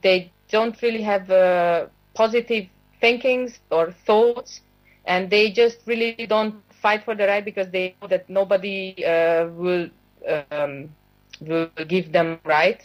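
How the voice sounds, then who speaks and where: A woman's voice: medium pitch, fairly even intonation, slow pace, dull audio. A woman in her thirties from Hungary, speaking as a guest over a video call and giving a personal account with many hesitations and fillers. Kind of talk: personal account on a talk show.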